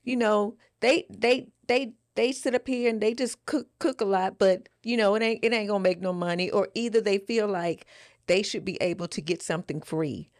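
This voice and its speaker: A woman's voice: medium pitch, moderate pace, dry recording, clean studio sound. A woman co-hosting a podcast, giving advice in a casual, emphatic way, repeating phrases for stress. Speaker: podcast co-host.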